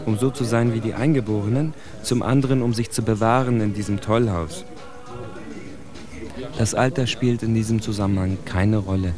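A man's voice: medium pitch, close to an everyday voice. A man playing a bitter, articulate immigrant in a literary radio drama monologue, with intense, rhetorical phrasing. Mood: grim, reflective. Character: bitter, articulate immigrant man indicting his generation's plight. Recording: music bed under the voice.

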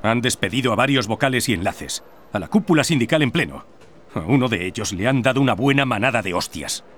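A man's voice: mid-range voice, expressive, brisk, fairly bright tone, natural voice. A man playing a fiery union leader. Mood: grim. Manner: urgent, rallying, indignant. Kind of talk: radio drama acting.

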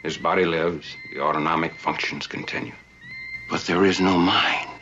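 An actor playing a solemn man who gravely reports that a body lives on without a mind, in a played film clip. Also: low-pitched, steady tone, moderate pace, sharp tone, highs cut off. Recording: music behind a played clip.

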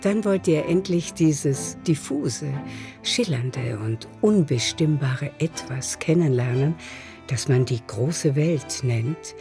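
Literary radio narrator over music. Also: mid-range voice.

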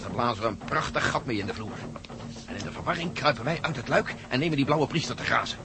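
An actor playing an eager, practical, gung-ho adventurer in a radio play. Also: male voice, mid-range voice, expressive, quick pace, natural voice.

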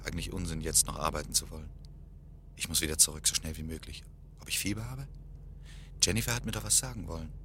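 A man's voice: a bass voice, fairly even intonation, fast talker, pauses often, bright, forceful tone, a little hiss or hum, heavily acted. A man acting in a German radio play as a self-absorbed, stubborn scholar, boastful yet anxious, with a brusque, hesitant delivery. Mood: anxious, brooding. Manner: hesitant filler-laden speech, brusque, interrupting.

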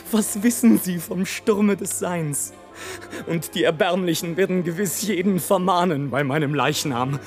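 An actor playing a brooding, defiant balloonist facing death, in a theatrical literary radio play monologue. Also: male voice, high voice. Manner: theatrical, swinging from hushed brooding to exclamation.

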